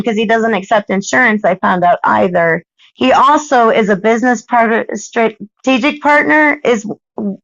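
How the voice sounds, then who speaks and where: An American woman pressing her point on a recorded phone call, insistent and halting, often repeating herself. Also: mid-range voice, fairly bright tone, no echo. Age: adult. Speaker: parent questioning a caseworker. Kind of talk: argumentative phone conversation.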